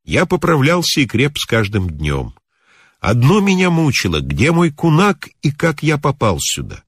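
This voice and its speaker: A man narrating a literary tale as an audiobook reader, in an expressive storytelling style. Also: medium pitch, moderate pace, slightly rough, dry recording, studio quality.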